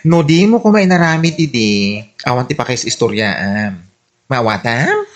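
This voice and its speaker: Animated Ilocano neighbour played by a performer, exclamatory, teasing, bluntly advising; radio comedy drama. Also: medium pitch, a little slow. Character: teasing, nosy neighbour who doles out blunt advice.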